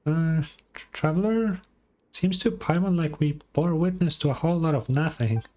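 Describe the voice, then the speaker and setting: A woman voicing a small, excitable, blunt fairy companion girl in a video game, squeaky and childlike, with music behind her. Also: moderate pace. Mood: indignant, blunt. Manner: brash, exclamatory, speaks of herself in third person.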